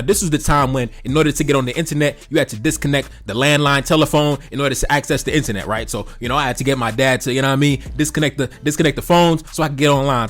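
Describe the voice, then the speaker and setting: A man in his thirties, originally from Haiti, hosting a reaction video and talking emphatically, often tagging his points with "right?" and "you know what I mean.". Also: a little high. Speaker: commentary channel host. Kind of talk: reaction commentary monologue.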